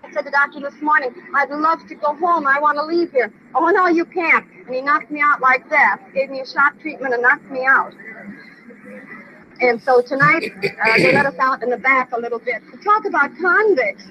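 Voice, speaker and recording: A woman's voice: high-pitched, moderate pace, pushed and bright. An American woman in her forties, agitated and distressed, giving a rambling, repetitive, pleading account. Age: 40s. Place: gas station, outdoors. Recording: dull, noisy old outdoor field recording.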